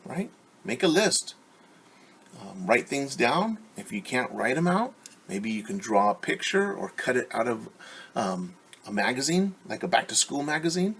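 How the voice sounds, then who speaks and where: A man's voice: mid-range voice, sing-song, measured pace, fairly bright tone, breathy edge. A man teaching young children at story time, reading aloud and explaining in simple, encouraging words with questions to his listeners.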